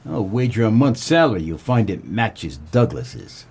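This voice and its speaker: A man acts out a hard-boiled 1940s gumshoe from a comic radio play. His low voice swoops expressively under a deadpan, wisecracking tough-guy manner, with a husky grain to the slangy lines. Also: unhurried, exaggerated voice. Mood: smug, accusing. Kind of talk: comedic noir radio play, first-person narration and dialogue.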